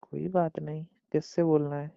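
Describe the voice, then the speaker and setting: A young man with a gravelly voice, chatting casually and intimately with his partner on a phone call. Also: fairly high pitch, level tone, normal speed, dry recording. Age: young adult. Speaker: boyfriend. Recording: muffled lo-fi phone call recording.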